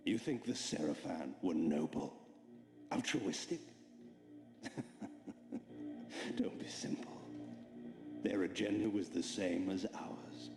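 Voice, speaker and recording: A man's voice: sits low, lively intonation, slow pace, vocal fry, pauses often, music in the background, heavily acted. A man playing a scheming, haughty vampire lord in a video game, theatrical and sardonic in delivery. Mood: mocking. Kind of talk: dramatic video game cutscene acting. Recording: echoing room, loud background noise.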